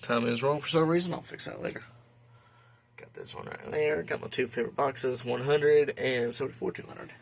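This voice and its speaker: Male hobbyist host, gravelly, informal and hesitant, recorded at home with audible noise. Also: medium pitch, fairly even intonation, normal speed. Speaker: hobbyist video host showing a weather radio collection. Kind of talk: casual unscripted show-and-tell. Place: indoor room at home. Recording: noisy home video with clicks.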